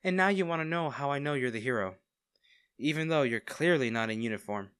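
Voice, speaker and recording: A man acting as a smitten villain in an intimate audio roleplay, speaking directly to the listener with theatrical pauses. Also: medium pitch, animated, fairly bright tone, smooth, no echo, studio quality, lightly acted. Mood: teasing, smug. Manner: teasing, theatrical, pausing, addressing the listener intimately. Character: male villain, teasing, smitten captor of a hero.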